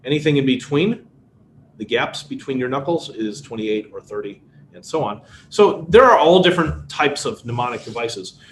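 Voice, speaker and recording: A middle-aged man with a Chicago accent, who grew up speaking Polish, giving a relaxed webinar lecture with everyday examples and frequent fillers. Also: medium pitch, normal speed, roomy sound, a little hiss or hum, rough recording. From United States. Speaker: workshop presenter. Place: indoor room. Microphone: webcam video call.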